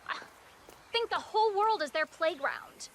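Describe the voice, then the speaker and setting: High-voiced, haughty reporter. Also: female voice, animated, measured pace, a bit bright, a little hiss or hum, full character voice. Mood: irritated. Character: exasperated, haughty female TV news reporter. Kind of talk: video game dialogue.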